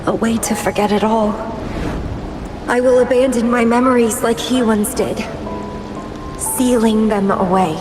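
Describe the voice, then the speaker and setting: An actress playing a brooding, intense young woman warrior in a video game, delivering a deliberate, introspective, melancholy monologue. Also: fairly high pitch, fairly even intonation, natural voice. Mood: quietly resolute. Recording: echoey long reverb, loud music. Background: loud game soundtrack music.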